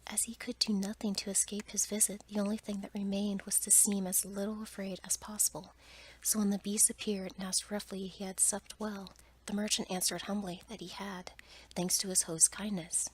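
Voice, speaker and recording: A woman storyteller reads a bedtime tale in ASMR style. Her voice is a breathy, husky whisper, kept soft and hushed, with careful phrasing. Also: mid-range voice, level tone, normal speed, poor audio.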